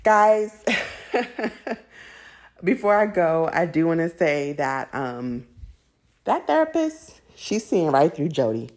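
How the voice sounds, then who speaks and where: Low-pitched woman giving candid commentary. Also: sing-song, slow pace, pauses often, a little mellow. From United States, raised in a Jamaican household. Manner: candid, opinionated, laughs easily while talking. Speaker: TV recap show host. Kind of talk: casual chatty commentary to viewers.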